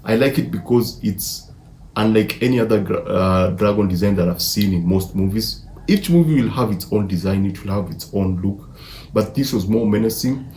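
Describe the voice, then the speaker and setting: A fairly low-voiced man talks through a casual film review. He is enthusiastic and emphatic, doubling back on words as he goes. The room is clearly echoey, with a faint noise underneath. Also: normal speed, darkish tone. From Africa. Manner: enthusiastic, emphatic, repeats words mid-sentence.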